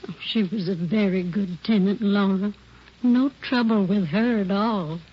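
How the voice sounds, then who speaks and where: A particular, chatty landlady speaks slowly at a middle pitch, given to wistful asides. It is 1940s radio drama on a muffled old recording. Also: breathy edge. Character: talkative, particular landlady fond of her tenant. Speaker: landlady questioned by police.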